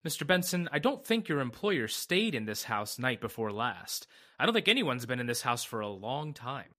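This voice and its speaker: A man playing a brisk, level-headed and skeptical attorney in an old-time radio drama. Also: mid-range voice, sing-song, sharp tone. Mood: dismissive, practical.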